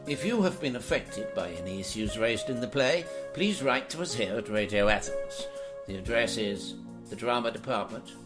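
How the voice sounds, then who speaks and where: A man's voice: mid-range voice, expressive, normal speed. A man announcing scripted closing credits for a radio play. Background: music.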